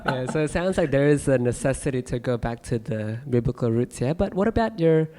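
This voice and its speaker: A man's voice: medium pitch, expressive, brisk, warm tone, in a large hall. A man hosting a podcast, who laughs along and puts questions to his guests. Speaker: podcast host. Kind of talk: casual interview questions.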